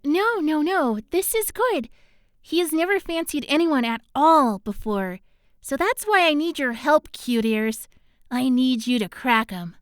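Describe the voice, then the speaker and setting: Woman acting female succubus, seductive, scheming, coaxing, high voice, dry sound. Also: expressive, measured pace, no room sound. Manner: coaxing, sultry. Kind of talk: fan audio drama acting.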